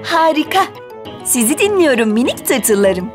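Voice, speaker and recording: A kind young preschool teacher from a children's cartoon. Her voice is high, and she explains and encourages with patience. Loud music plays behind her. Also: big pitch swings, a little slow. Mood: encouraging. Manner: clear, instructive, encouraging. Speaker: voice actor.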